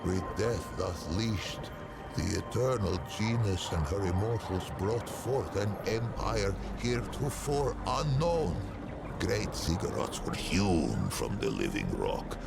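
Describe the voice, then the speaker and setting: A man playing an ancient, learned non-human storyteller in a video game, narrating old lore in a stately, solemn, wry manner with archaic phrasing and deliberate pauses. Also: exaggerated voice. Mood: grave, wry. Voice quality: very deep, gravelly. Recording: music behind the voice. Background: prominent background music.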